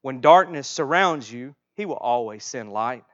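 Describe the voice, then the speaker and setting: A man's voice: mid-range voice, animated, a little slow, a bit bright. Man reading as solemn animal messenger, muffled audio. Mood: solemn reassurance. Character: gentle creature messengers: frog, moths, firefly, angel fish.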